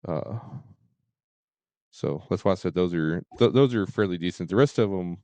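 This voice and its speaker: A man talking casually as a game streamer, in a halting way with repeated and stuttered words. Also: low voice, darkish tone, dry recording.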